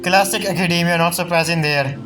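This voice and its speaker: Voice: medium pitch, steady tone, fairly quick. A game streamer giving casual, informal reactions in short muttered interjections and repeated phrases. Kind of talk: casual gameplay commentary. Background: faint game music.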